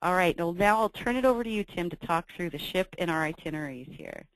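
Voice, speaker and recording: A woman's voice: lowish voice, animated, no echo, poor audio. A woman hosting a travel webinar, reading from slides in an upbeat way with frequent ums. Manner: reads from slides, brisk and upbeat with filler ums. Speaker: webinar host for a travel company. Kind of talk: scripted sales presentation.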